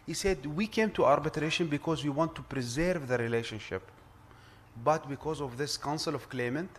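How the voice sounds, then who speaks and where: A man's voice: fairly high pitch, slight background noise. A man with an Arabic accent giving an earnest conference address, often repeating words and restarting sentences. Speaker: conference speaker, arbitration lawyer. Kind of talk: formal address at a round table.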